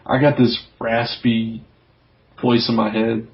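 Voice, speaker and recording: A man's voice: mid-range voice, little pitch movement, takes it slowly. A man co-hosting a podcast, giving his opinions in casual chat, recorded with dull, lo-fi sound. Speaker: comics podcast co-host.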